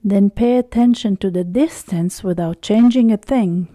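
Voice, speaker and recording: A woman guiding a meditation in a soothing, unhurried way, pausing often between short phrases. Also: medium pitch, dark tone, dry recording. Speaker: meditation guide. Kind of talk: slow guided body-scan meditation.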